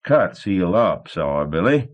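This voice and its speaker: A man voicing a brutish riverboat thug who taunts slowly and menacingly. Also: deep voice, very animated, exaggerated voice. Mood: taunting. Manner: slow, taunting.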